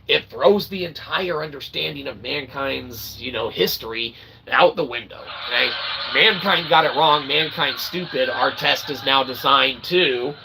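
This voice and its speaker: A man's voice: medium pitch, vocal fry, sharp tone, husky, low-quality recording. A man hosting a livestream, rambling through a monologue and checking in with "okay?" and "all right". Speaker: livestream host.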